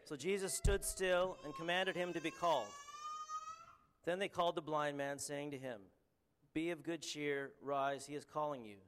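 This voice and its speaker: A man with a Canadian accent preaching a sermon and reading scripture, earnest and halting, with frequent ums and restarts. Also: on the high side, fairly even intonation, fairly bright tone, a little hiss or hum.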